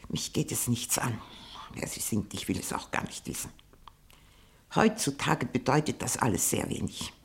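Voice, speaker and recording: An older actress in a radio play playing a very old, shrewd, greedy and haughty spinster aunt with a cracked voice. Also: female voice, mid-range voice, gravelly, heavily acted. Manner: slow, halting, haughty and blunt. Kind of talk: 1960 German radio play acting.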